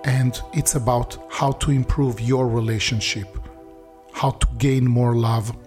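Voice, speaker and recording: A man's voice: medium pitch, fairly even intonation, a little slow, darkish tone. Hebrew-native man over faint music. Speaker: host. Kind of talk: spoken intro about the Bible.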